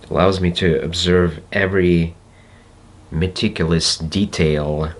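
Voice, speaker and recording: A man with a Russian accent, a native Russian speaker, talking slowly and reflectively to camera and pausing to search for words. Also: sits low, steady tone. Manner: slow, deliberate, searching for words with pauses. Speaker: self-development video host. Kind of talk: slow reflective monologue to camera.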